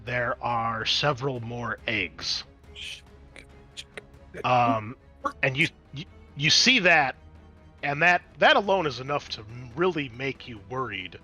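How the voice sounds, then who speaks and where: A man's voice: mid-range voice, lively intonation, slow pace, long pauses, bright, forceful tone, soft background music. A man running a tabletop roleplaying game as game master, switching between conversational rules talk and dramatic scene description.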